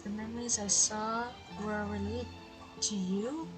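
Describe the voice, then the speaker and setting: An actress playing a gentle young traveler in a video game, hesitant and soft-spoken. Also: female voice, medium pitch, fairly even intonation, measured pace, lightly acted. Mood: puzzled, uneasy. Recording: loud game music under the voice.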